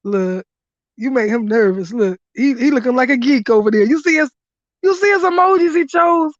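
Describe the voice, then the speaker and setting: Brief: hoarse woman, rowdy live-stream host, higher voice, dull dry lo-fi audio. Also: lively intonation, normal speed, no echo. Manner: loud, laughing, profane hype commentary. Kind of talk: casual live-stream chat.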